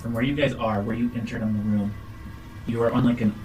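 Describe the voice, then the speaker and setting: A man runs a tabletop role-playing game as game master. His voice is medium in pitch, and he builds each description in halting pieces, with frequent filler likes. Music plays clearly behind him. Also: steady tone, darkish tone, low-quality recording. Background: background music.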